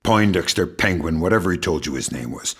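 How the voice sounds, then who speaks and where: A grizzled veteran secret agent from a video game, with an extremely low, raspy voice. He is middle-aged and sardonic, delivering threats and dry wit in the same deadpan way. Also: a little fast, full character voice. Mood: quietly threatening. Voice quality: gravelly, husky. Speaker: video game lead character. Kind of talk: dramatic video game acting.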